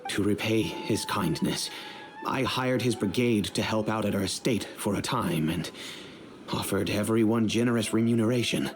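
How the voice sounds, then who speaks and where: A man playing a grave, low-voiced family patriarch. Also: background noise, lightly acted. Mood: solemn. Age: middle-aged. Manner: measured, solemn, storytelling. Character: grave wealthy middle-aged father, family head. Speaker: head of a noble family. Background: game music.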